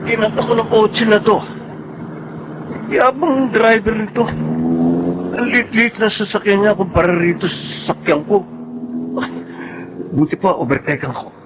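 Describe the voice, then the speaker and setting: An actor playing a cocky, free-spending young Filipino son in a brash, breezy Tagalog radio drama style. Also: male voice, sits high, a little slow, pauses often, highs cut off, noisy background. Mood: irritated, boastful. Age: young adult.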